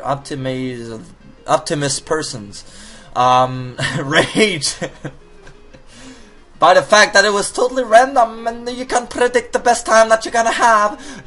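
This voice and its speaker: A man casually commenting while he plays a puzzle game, reading the hints aloud and joking as he goes. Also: medium pitch. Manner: animated, reads on-screen hints aloud, jokey outbursts. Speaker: gaming video host. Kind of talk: casual let's-play commentary.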